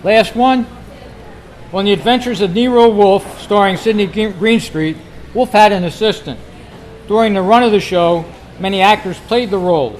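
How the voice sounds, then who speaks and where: A man's voice: sits high, normal speed, low-quality recording. A man hosting a quiz live at a club meeting, calling out to the members in an amateur recording.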